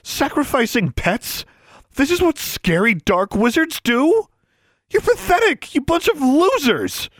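A man's voice: very high voice, big pitch swings, measured pace, a bit bright, no echo, pitched above the performer's own voice, heavily acted. A man voicing an outraged, defiant teenage witch girl in an audiobook, exclaiming indignantly and emphatically. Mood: disgusted, scornful.

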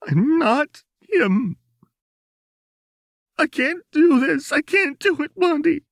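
A man voicing a fragile, volatile young woman in an audiobook, halting and shaky, repeating herself in distress. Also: extremely high pitch, expressive, creaky, no room sound, clean studio sound, voice pushed up, exaggerated voice. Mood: sobbing, desperate.

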